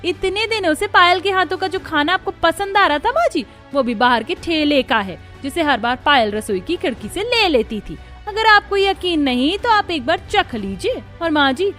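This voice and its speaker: Woman acting a shrill tattling sister-in-law. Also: lively intonation, moderate pace, hardly any pauses, fairly bright tone, soft background music. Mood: accusing. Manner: accusing, emphatic. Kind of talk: Hindi cartoon story acting.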